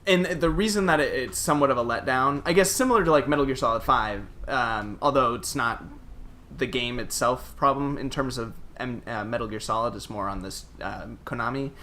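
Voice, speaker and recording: A man's voice: medium pitch, brisk, pushed and bright, slight background noise. A man co-hosting a casual gaming podcast, talking informally and peppering his explanations with filler words like "like". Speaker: podcast co-host.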